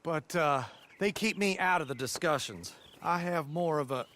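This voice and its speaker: An actor voicing a fretful young gentleman. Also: fairly high pitch, normal speed, lightly acted. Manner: anxious, pleading, refined. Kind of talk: video game cutscene acting.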